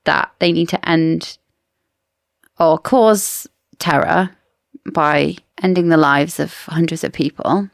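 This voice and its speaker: A woman co-hosts a casual book podcast with a creaky voice that sits a little low. She is inquisitive and opinionated. The sound is very dry. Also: takes it slowly, dry recording. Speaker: podcast co-host.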